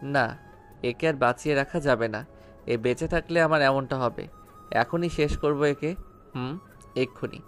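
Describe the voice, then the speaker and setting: A man's voice: medium pitch, measured pace, long pauses, a bit bright, faint music behind. Man acting a brash, hot-tempered young gangster. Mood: grim resolve. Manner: curt and commanding, mixes in English words. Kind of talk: audio story acting.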